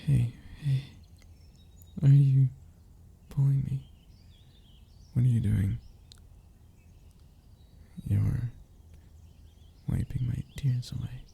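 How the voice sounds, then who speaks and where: A man acting a lovesick, anxious young man in an intimate romantic audio roleplay, with a halting, emotional delivery and breathy murmurs. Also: medium pitch, fairly even intonation, dark tone, exaggerated voice. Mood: tender, disbelieving. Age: young adult. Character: lovesick, anxious young man confessing to childhood best friend.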